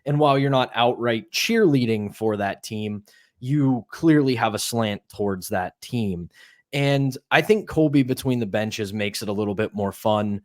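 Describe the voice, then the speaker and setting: A man's voice: fairly low pitch, unhurried, no echo, clean studio sound. A man co-hosting a sports talk podcast, giving his opinions in a conversational way. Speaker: podcast co-host.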